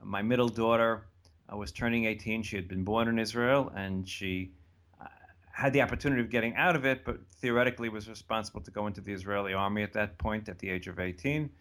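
Older guy from the states, lowish voice, talks things through slow and meandering. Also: fairly even intonation, a bit bright. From United States. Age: about 70. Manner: thoughtful, meandering with restarts. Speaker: interview guest. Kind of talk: casual conversational interview.

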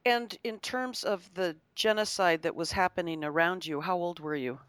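A woman conducting an interview in a fairly low voice, with a dry, close sound. She speaks conversationally, putting probing questions and offering brief comments. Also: normal speed, fairly bright tone, smooth, no room sound.

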